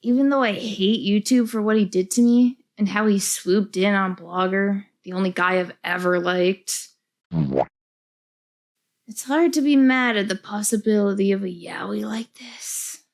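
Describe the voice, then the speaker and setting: A woman's voice: medium pitch, measured pace, vocal fry. A woman voicing a jilted, grudging, lovesick teenage fangirl in an amateur webcomic dub. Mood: grudging swooning.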